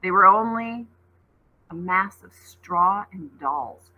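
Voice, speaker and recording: A woman teacher reading a novel aloud to students, expressive, stopping for short explanatory asides. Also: mid-range voice, slow pace, phone-quality sound. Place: school classroom.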